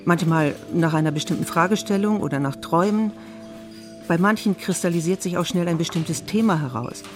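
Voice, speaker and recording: A woman's voice: on the low side, fairly quick, over music, lightly acted. Earnest, lecturing woman therapist played by an actress. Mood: lecturing, intense. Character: earnest, self-absorbed female art therapist and stroke patient. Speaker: actor in a drama. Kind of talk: dialogue acting in an audio drama.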